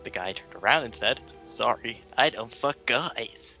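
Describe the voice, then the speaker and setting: A man's voice: medium pitch, expressive, unhurried, sharp tone. A man reading the side characters aloud in a casual group reading, with dry, teasing asides. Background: faint classical music.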